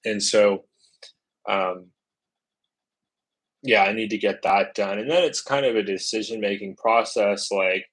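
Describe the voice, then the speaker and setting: A man's voice: fairly low pitch, a bit bright, poor audio. A man from the United States chatting casually on a travel livestream, thinking aloud with lots of fillers and restarts. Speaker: travel vlogger.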